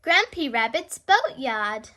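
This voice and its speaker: Bright, high-pitched woman reading a children's storybook. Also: sing-song, slow pace. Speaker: children's read-aloud storyteller, channel host. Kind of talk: scripted storybook narration for young children.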